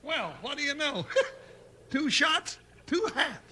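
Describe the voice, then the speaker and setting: A man playing a folksy, excitable, talkative cowboy sidekick with an American Western drawl in a comic 1950s radio western. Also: very high voice, slow, full character voice. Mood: admiring. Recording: noisy vintage 1950s radio recording.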